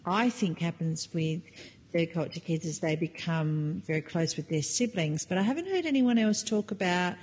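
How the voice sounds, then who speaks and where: A woman's voice: lowish voice, normal speed, long reverb tail, poor audio. Chatty woman co-host, laughing easily. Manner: animated, laughing, searching for words. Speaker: podcast co-host. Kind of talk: casual chat.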